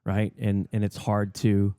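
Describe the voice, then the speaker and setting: A man's voice: lowish voice, completely flat delivery, a little slow, dark tone, no echo, clean studio sound. A middle-aged man co-hosting a casual podcast, explaining his thoughts earnestly and circling back over his words. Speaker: podcast co-host. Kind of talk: casual chat.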